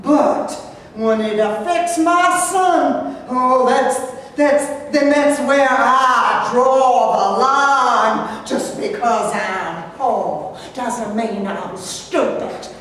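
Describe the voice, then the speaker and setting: A woman's voice: on the high side, extremely slow, a bit bright. A woman reading the part of a poor, defiant mother who fights for her son in a staged play reading. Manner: combative, pleading, emotional. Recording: echoey hall from far off, faint noise.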